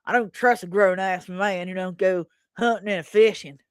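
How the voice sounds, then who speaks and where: A woman voicing a gruff, suspicious rural man in his fifties, with a blunt folksy drawl, in a dramatic comic reading. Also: medium pitch, fairly even intonation, moderate pace, dry recording, clean studio sound, lightly acted. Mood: folksy contempt.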